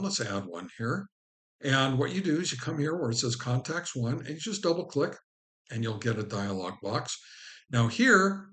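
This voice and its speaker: Man giving an informal how-to walkthrough. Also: mid-range voice. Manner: instructional, addresses the viewer directly. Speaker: host of a ham radio how-to channel. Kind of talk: informal step-by-step tutorial.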